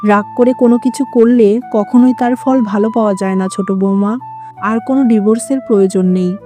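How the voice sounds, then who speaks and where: A woman's voice: fairly high pitch, steady tone, fast talker, mellow, faint music behind, close to an everyday voice. A woman voicing a stern older mother-in-law with a firm, admonishing manner in a cartoon. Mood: firm, explaining. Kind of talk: Bengali moral-story cartoon dubbing.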